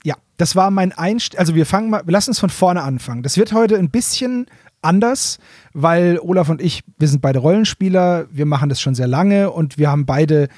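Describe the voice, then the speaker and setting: A man's voice: fairly high pitch, expressive, brisk, a little mellow, no room sound, clean studio sound. A man co-hosting a podcast in a casual, chatty way, sprinkling English phrases into his talk. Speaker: podcast co-host.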